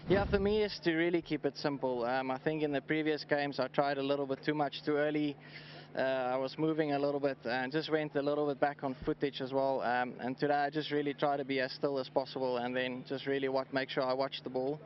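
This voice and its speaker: A South African man whose first language is Afrikaans, a cricketer giving a halting post-match interview at pitch side, often repeating words. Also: fairly even intonation, slight background noise. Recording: slightly dull outdoor broadcast audio.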